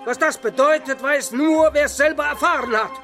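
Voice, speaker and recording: A high-pitched, ranting old peasant father. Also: moderate pace, music in the background. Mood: aggrieved, lamenting. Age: older. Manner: ranting, scolding. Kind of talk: German radio play acting.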